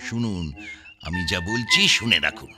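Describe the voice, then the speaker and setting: An actor playing a sardonic, bitter figure in a radio drama, talking dryly with wry laughter. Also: male voice, on the low side, wildly swooping pitch, moderate pace. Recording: radio play with faint music.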